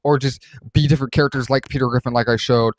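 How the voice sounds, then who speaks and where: A man hosting a casual software walkthrough, explaining things in an informal, loosely rambling way. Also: medium pitch, fairly even intonation, quick pace. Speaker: host reviewing voice changer software.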